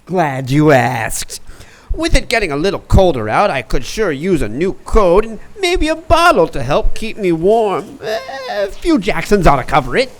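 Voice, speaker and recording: A man's voice: high voice, sing-song, normal speed. A man playing a shifty, wheedling street informant in a noir radio play, hesitant and ingratiating.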